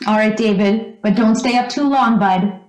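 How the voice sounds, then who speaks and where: A roomy echo surrounds the voice. It is a woman performing a middle-aged mother in an old-time radio play, slightly high-pitched, motherly and fussing. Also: moderate pace, darkish tone, natural voice. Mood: soothing. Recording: roomy, echoing recording.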